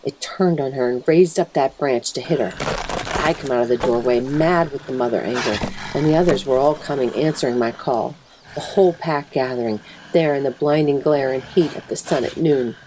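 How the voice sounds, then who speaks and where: A woman voicing an anguished, plainspoken mother wolf in a dramatic first-person story reading, grave and emotive, with a husky, breathy voice. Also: rumbling low, moderate pace, background noise. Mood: tense, grim. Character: mother wolf telling of her husband's horrifying change, anguished, plainspoken.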